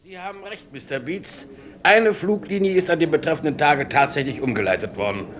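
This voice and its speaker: An actor playing a courteous, formal and helpful airport director in a German radio crime drama. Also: male voice, fairly high pitch, expressive, fairly quick, a bit bright. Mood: matter-of-fact. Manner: formal, obliging. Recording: clear background noise and ambience.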